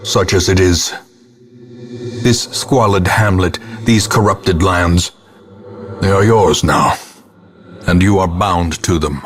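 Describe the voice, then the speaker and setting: An actor voicing a grim, ominous old ghostly ancestor as a gothic horror game narrator, solemn and theatrical, with a gravelly, husky voice. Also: male voice, sits low. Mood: grave, commanding. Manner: solemn, portentous, deliberate delivery.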